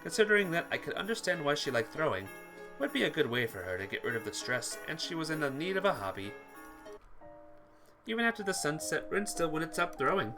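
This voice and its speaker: A man's voice: fairly high pitch, sing-song, fairly quick. A man reading visual novel text aloud as dramatised narration, sometimes stumbling over words and rereading them. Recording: music behind the voice. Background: game music.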